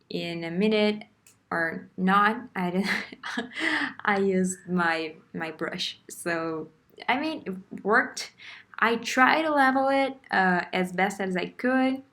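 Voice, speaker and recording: A woman's voice: on the low side, a bit bright. Brazilian woman chatting with self-deprecating laughs. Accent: Brazilian Portuguese. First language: Portuguese. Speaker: art vlogger. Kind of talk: casual voice-over narration of a craft video. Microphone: phone.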